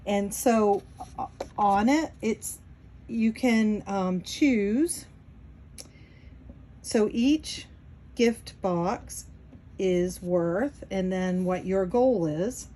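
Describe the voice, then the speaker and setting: A woman's voice: medium pitch, very slow, pauses often, dark tone. A woman in her sixties with a New England accent, chatting casually to camera at home with many fillers. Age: 60s. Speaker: vlogger and Etsy seller. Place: home, at a wooden table.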